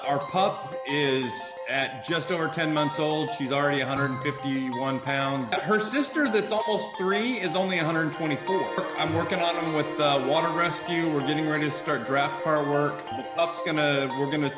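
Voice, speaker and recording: A man's voice: fairly high pitch, moderate pace, hardly any pauses. A man giving a casual, conversational update. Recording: echoey room, loud music over voice. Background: loud music.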